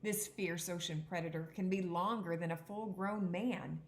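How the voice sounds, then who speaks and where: A woman's voice: lowish voice, fairly even intonation. Woman teacher reading aloud, echoey room. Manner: deliberate teacherly phrasing, pausing to explain words. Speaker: second-grade teacher. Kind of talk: children's picture book read-aloud.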